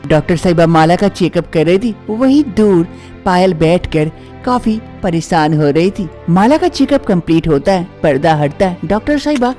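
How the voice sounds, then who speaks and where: A man's voice: sits high, animated, normal speed, a little mellow, soft background music. A man reading scripted narration as the storyteller of a moral tale.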